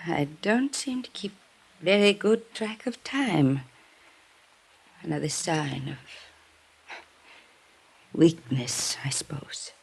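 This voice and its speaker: A woman's voice: fairly low pitch, slow, vocal fry, pauses often, husky, heavily acted. A woman playing a frail, vague middle-aged mother, secretive and apologetic, in a 1950s radio drama. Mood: weary, apologetic. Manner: drifting, apologetic, genteel. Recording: noisy old radio recording.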